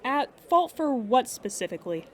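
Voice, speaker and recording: A woman playing a polite, measured insurance claims agent who speaks formally and diplomatically on an improvised audio drama call. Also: high-pitched, sing-song. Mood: polite, probing.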